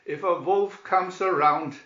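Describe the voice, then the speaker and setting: A man's voice: high-pitched, slow, bright, forceful tone, voice pushed up, full character voice. A man voicing a wise, hard-working storybook pig with an emphatic, admonishing manner. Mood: warning. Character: wise, industrious male storybook pig, confident, scolding. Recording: roomy echo, slightly dull sound.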